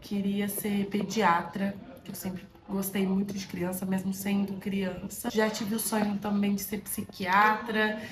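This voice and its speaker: A woman who teaches at a public school, speaking in a measured, reflective way in a documentary interview. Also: mid-range voice, flat delivery. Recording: roomy echo with music underneath.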